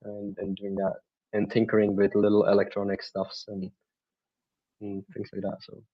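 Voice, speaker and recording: A young man from the Czech Republic with a Czech accent, a native Czech speaker, answering interview questions remotely in a halting way. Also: lowish voice, completely flat delivery, lo-fi sound. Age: young adult. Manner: halting, with restarts and fillers. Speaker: guest, mindset coach.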